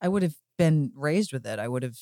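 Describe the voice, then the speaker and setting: A woman's voice: low voice, animated, brisk, warm tone, dry recording, studio quality. A woman hosting a podcast in casual conversation, musing and given to anecdotes. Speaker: podcast host. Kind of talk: casual chat.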